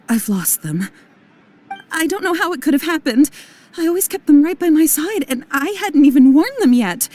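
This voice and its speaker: An anxious young merchant in a game, high yet mellow in tone. The speech is plaintive and hesitant, with faint music underneath. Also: female voice. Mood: distressed. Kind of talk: anime game voice acting.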